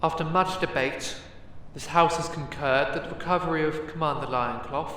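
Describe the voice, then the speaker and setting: A woman's voice: low voice, normal speed, fairly bright tone, heavy reverb, in a large hall, a little hiss or hum. A woman playing a solemn, imperious leader of a ruling council in a fantasy audio drama, with formal, ceremonious, declamatory delivery. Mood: solemn, official.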